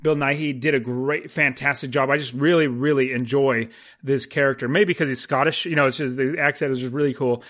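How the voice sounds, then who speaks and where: A man's voice: medium pitch, steady tone, a little fast, fairly bright tone. A man co-hosting a casual movie podcast, speaking informally and repeating words for emphasis. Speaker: podcast co-host.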